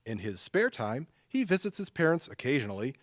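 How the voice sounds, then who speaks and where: Man acting wry older brother, animated delivery. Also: medium pitch, brisk, no echo, lightly acted. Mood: sardonic. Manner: dry, teasing humor. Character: wry, supportive, sardonic older brother, a struggling musician. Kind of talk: audio drama dialogue.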